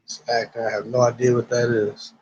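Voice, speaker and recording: A man with a raspy smoker's voice, rambling casually and profanely to his live-stream chat while high. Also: flat delivery, brisk, a little mellow, dry recording. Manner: laid-back, rambling, profane. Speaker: livestreamer. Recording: dull lo-fi stream audio.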